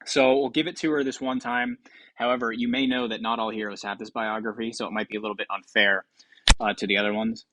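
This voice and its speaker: A man's voice: lowish voice, little pitch movement, fast talker, noisy background. A man hosting a game show with casual, improvised commentary in a rambling, offhand way.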